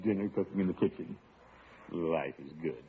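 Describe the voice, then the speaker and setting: An actor playing a pompous, doting middle-aged uncle in an old radio sitcom, chuckling and blustering along in a self-satisfied way. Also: male voice, low voice, normal speed, close to an everyday voice. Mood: contented. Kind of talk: 1940s-50s radio sitcom acting. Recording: tinny, hissy old radio recording.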